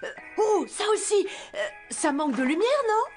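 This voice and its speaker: A woman's voice: very high voice, big pitch swings, moderate pace. An actress voicing an eager, pleading, stubborn little boy in a children's cartoon, with music behind. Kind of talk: French-dubbed children's cartoon.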